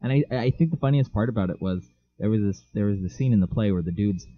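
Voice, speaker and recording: A man's voice: fairly low pitch, fast talker. A young man co-hosting a casual podcast, telling rambling, jokey stories and mocking other people's voices. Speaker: podcast co-host. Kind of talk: casual chat. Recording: dull lo-fi mic.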